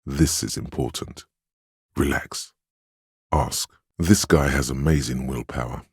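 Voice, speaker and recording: An extremely deep, gravel-edged inner voice of willpower for a video game. It counsels like a stern but encouraging mentor, measured and solemn, with a heavy husk in the tone and a dry, close sound. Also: male voice, no room sound, full character voice. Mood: measured, firm. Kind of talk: scripted game narration, second-person counsel.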